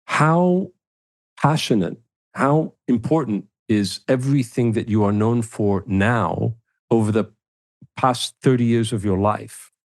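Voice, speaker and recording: A man's voice: mid-range voice, slow, darkish tone, no room sound. A man hosting an interview, encouraging his guest and thinking aloud as he builds his questions. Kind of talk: podcast interview.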